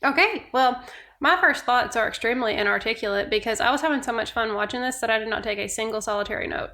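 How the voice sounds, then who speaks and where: A woman's voice: on the high side, quick pace, bright, forceful tone. A woman co-hosting a podcast in casual chat, upbeat, enthusiastic and playful. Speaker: podcast co-host.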